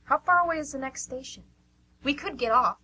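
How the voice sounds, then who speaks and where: A woman's voice: high-pitched, brisk, sharp tone, poor audio. A woman playing a dry, teasing, pragmatic friend in an amateur radio drama. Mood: anxious, practical.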